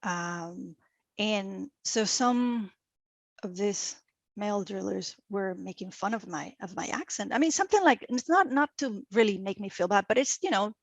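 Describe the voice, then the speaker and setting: A woman's voice: mid-range voice, moderate pace, gravelly, dry recording. Venezuelan woman telling a story, dry sound. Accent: Venezuelan Spanish. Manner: animated, laughs often, many restarts. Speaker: guest. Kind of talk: personal storytelling in an interview.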